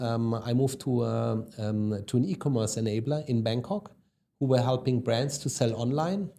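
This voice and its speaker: A man's voice: mid-range voice, mellow. A man with a German accent, a guest on a business podcast, talking casually with many ums and tag questions. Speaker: guest, e-commerce executive. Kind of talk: podcast interview.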